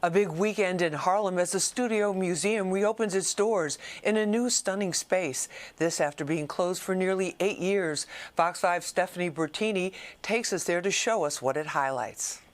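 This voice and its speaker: A woman's voice: fairly low pitch, moderate pace, few pauses. An American woman reading scripted news as a television anchor.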